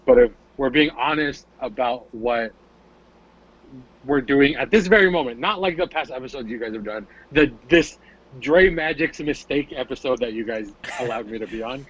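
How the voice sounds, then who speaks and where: A man's voice: medium pitch, lively intonation, moderate pace, a bit bright, dull audio. A man who is a guest on a casual podcast, talking in a playful, teasing way.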